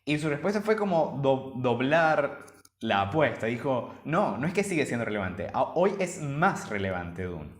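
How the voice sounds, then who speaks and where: A man's voice: mid-range voice, very animated, moderate pace. Man from Venezuela, podcast co-host, rambling, eager, echoey room. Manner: enthusiastic, rambling with many restarts. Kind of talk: casual chat. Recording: roomy echo.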